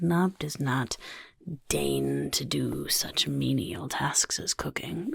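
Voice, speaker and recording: A woman voicing a sly, self-important goblin overlord who interviews recruits in soft-spoken ASMR roleplay, whispering and talking about itself in the third person. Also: deep voice, dry recording, exaggerated voice. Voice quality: gravelly.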